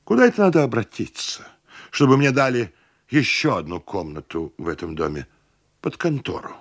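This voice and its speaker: A man's voice: mid-range voice, big pitch swings, normal speed. A man voicing a beleaguered tenant in a story who complains wryly while showing off cramped rooms. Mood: plaintive, wry.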